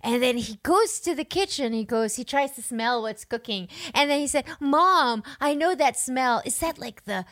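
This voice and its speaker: Casting: Filipina woman, young adult, animated storyteller, bright and dry-sounding. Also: sits high, moderate pace, hardly any pauses, dry recording. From Philippines. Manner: animated storyteller, laughs often, mixes English and Filipino. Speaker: podcast co-host. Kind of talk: casual chat.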